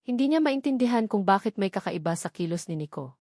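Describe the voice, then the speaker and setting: A woman's voice: mid-range voice, expressive, a little fast, darkish tone, no echo, studio quality. A woman reading a scripted romantic drama story as its narrator.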